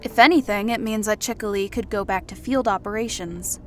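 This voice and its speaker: A woman's voice: medium pitch, fairly quick, a bit bright, soft background music, natural voice. A woman playing a cool, composed fantasy woman with a dry, icy edge in an audio story.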